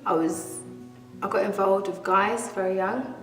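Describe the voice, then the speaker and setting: A woman's voice: medium pitch, steady tone, measured pace. Candid young woman, echoey hall. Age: 20s. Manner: candid, laughs easily. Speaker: church member giving testimony. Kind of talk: testimony interview. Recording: echoey hall, mic far off, soft music.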